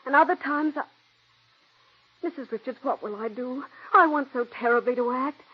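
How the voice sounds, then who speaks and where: An actress voicing a young, stage-struck female stenographer who is adoring and eager, in 1940s radio acting style with a breathy, gushing way of talking. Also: sits high, normal speed. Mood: pleading. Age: young adult. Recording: dull 1940s radio broadcast.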